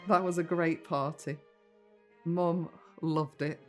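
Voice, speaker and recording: An actress playing a caring, sentimental middle-aged English daughter in a naturalistic audio drama, tender and conversational. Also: female voice, low voice, steady tone, takes it slowly, a little mellow, soft background music. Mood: reflective.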